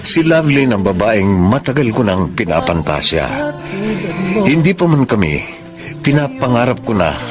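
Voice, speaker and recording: A wayward middle-aged Filipino husband and father. His low voice swings expressively in an earnest radio melodrama manner, over loud music. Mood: confessional.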